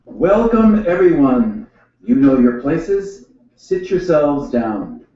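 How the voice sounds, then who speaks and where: A man's voice: a little high, sing-song, takes it slowly, dark tone, far from the mic. An actor playing an uneasy, murderous new king in an educational radio play. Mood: hearty, welcoming. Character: newly crowned murderous king, hosting, uneasy. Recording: echoey room, off mic, dull sound.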